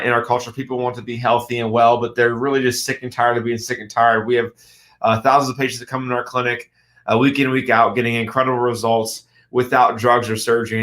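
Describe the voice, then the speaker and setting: A man's voice: medium pitch, fairly bright tone. A middle-aged man, hosting a health radio show as a chiropractor, talking earnestly and emphatically with frequent restarts and rhetorical questions. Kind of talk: health talk radio monologue.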